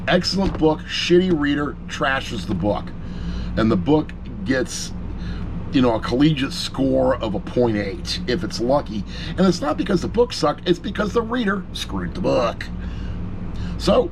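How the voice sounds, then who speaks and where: Noisy recording, middle-aged man, average pitch, informal video review, opinionated, emphatic, repetitive phrasing, mildly sweary. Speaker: audiobook reviewer.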